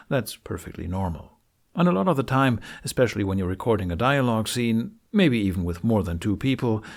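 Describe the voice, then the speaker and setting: A man narrating a how-to tutorial, explaining things step by step with deliberate phrasing and pauses. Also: fairly low pitch, a little mellow. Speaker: tutorial host. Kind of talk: instructional narration for a how-to video.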